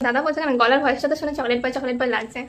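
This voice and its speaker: A woman's voice: high voice, fairly even intonation, very fast, a bit bright. A woman chatting casually as a prank call host, teasing and insistent. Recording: clearly noisy background.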